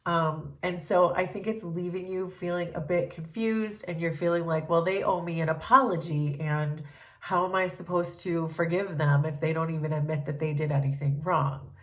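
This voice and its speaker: A woman with a stuffy, congested voice from a cold, giving a gentle, thoughtful tarot reading with frequent pauses and ums. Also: lowish voice, steady tone. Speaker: tarot reader.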